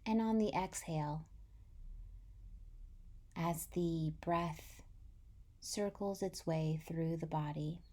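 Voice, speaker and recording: A woman leads a guided meditation at a very slow pace. Her voice is slightly low and mellow, soft and breathy at the ends of phrases. She speaks gently and soothingly, leaving long pauses. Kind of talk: guided body-scan meditation.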